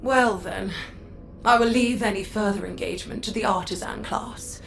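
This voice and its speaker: A woman's voice: medium pitch, normal speed, vocal fry, fairly bright tone. A haughty female magistrate from a sci-fi game, speaking in a mid-range voice with formal, condescending poise. There is faint noise behind her. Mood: smug.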